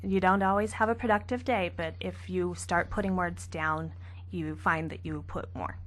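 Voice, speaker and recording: Soft-spoken young woman author. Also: on the low side, steady tone, moderate pace. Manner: soft-spoken, light laughs. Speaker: author guest. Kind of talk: radio interview.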